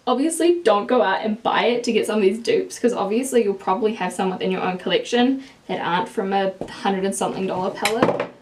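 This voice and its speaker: A woman's voice: mid-range voice, slight background noise. A young woman with a strong New Zealand accent, chatting casually to camera as a beauty vlogger, walking through swatches of eyeshadows in a small room. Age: young adult. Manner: chatty, explains product swatches while holding up palettes. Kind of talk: casual makeup review to camera. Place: indoors, small room with plain wall.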